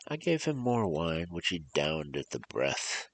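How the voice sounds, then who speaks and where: Low-voiced man narrating a dramatic story. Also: big pitch swings, measured pace, a little hiss or hum.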